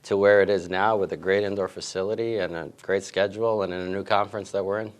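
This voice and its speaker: A middle-aged man, a head tennis coach, answering interview questions in a relaxed way with many fillers. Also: sits low, fast talker. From grew up in Italy and Queens, New York.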